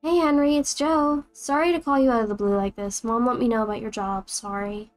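A woman's voice: on the high side, fairly even intonation, fairly quick, soft background music, lightly acted. A woman reading as a worried sister on voicemail. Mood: anxious. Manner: rambling, apologetic. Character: worried young woman leaving her brother a voicemail.

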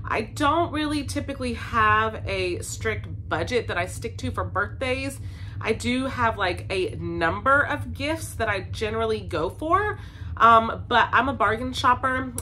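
A woman's voice: medium pitch, bright, forceful tone, slight background noise. A woman in her thirties chatting casually to camera as a vlogger, sounding congested from a cold, upbeat and full of ums and likes. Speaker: vlogger, stay-at-home mom. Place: home basement, indoors. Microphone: camera in front, seated framing.

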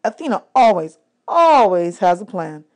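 A woman voicing a clever, cool-headed teenage girl strategist in an audiobook, brisk and self-assured. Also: mid-range voice, very animated. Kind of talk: audiobook character reading.

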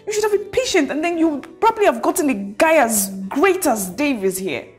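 Emotional best friend: lively, rising and falling delivery, blunt, exclamatory, music underneath. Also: female voice, high-pitched, fairly quick. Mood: scolding, emphatic. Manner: exclamatory, repeats phrases for emphasis. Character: outspoken, emotional best friend, scolding yet consoling. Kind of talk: melodramatic film acting.

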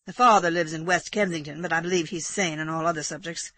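A woman reading aloud, voicing a dry, ironic older gentleman. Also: low voice, fairly even intonation, quick pace, no echo.

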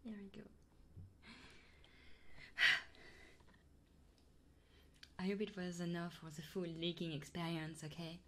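A woman streaming ASMR, chatting in whispers and soft murmurs close to the microphone. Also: fairly low pitch, fairly even intonation, takes it slowly, long pauses, background noise.

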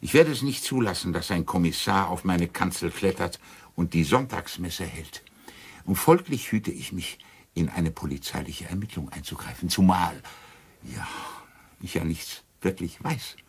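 An actor playing an erudite, wryly amused clergyman in a radio crime play, very husky, musing in ornate phrases. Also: deep voice, animated, moderate pace, full character voice. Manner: ornate wording, hesitant musing, wry.

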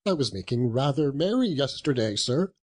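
A man's voice: mid-range voice, very animated, moderate pace, darkish tone, voice pushed up. A man voicing a meek, apologetic clerk in a storybook, pleading and deferential with his boss. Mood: flustered, apologetic.

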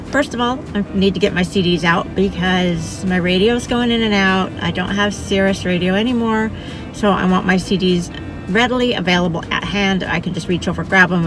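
A woman's voice: mid-range voice, poor audio. A middle-aged woman with an American accent chatting casually and cheerfully in a folksy way inside a car, as a travel vlogger. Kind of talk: casual chat to camera while driving.

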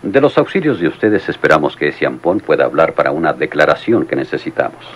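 A deep-voiced police lieutenant. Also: male voice, animated. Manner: courteous, measured. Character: police lieutenant, polite and determined. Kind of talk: 1950s-style radio drama acting. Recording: dull, noisy old radio recording.

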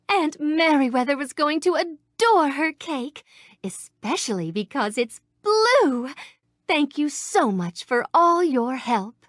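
A woman voicing a gentle young princess in a game, with a soft, sweet, refined voice and a polite, gracious way of talking, recorded close in a studio. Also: sits high, no room sound, full character voice. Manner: polite, gracious, warmly grateful. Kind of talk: game voice clips. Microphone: close studio mic.

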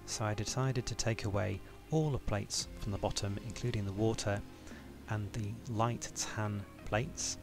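Low, gravelly-voiced man hosting a review. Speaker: toy-building channel host. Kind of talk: scripted review narration.

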